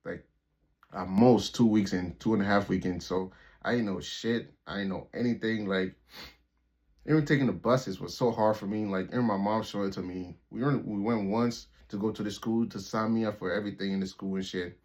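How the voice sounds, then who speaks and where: A man's voice: lowish voice, brisk, a little mellow. A man in his twenties from Liberia, telling a personal story to his channel in a loose, rambling way with plenty of "like" and cursing. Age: 20s. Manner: rambling, loose and profane, full of "like" and restarts. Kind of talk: casual storytelling vlog. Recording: dull lo-fi recording.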